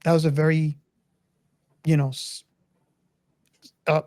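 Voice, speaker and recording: A man's voice: a little high, level tone, slow pace, a little mellow, no echo, studio quality. A man in a casual film discussion, earnest and insistent, repeating his phrases to make a point. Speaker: podcast co-host.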